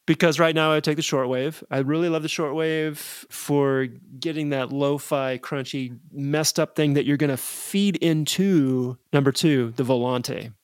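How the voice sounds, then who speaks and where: A man's voice: on the high side, fairly even intonation, a little slow, hardly any pauses, dry recording, clean studio sound. A man with an American accent talking casually as an interview guest about his craft. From United States (Nebraska). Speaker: podcast guest, guitar effects pedal maker.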